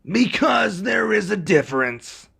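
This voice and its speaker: A man voicing a blunt companion to a villain in an ASMR roleplay, with a creaky, raspy voice. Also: high voice, sing-song, measured pace, fairly bright tone, heavily acted. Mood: dry.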